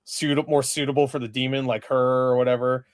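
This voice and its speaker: A man's voice: medium pitch, little pitch movement, fairly quick, no echo, clean studio sound. A man chatting casually as a co-reviewer of a film, slangy and questioning with an incredulous manner.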